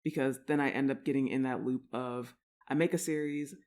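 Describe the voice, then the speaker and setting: Casual, quick-talking woman chatting to listeners. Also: rumbling low, fairly even intonation, a little mellow. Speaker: audio roleplay creator and performer.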